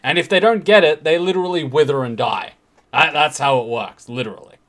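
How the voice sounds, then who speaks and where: A man's voice: a little high, brisk, very noisy. A man hosting a game story reaction, commenting casually and irreverently, repeating words for emphasis.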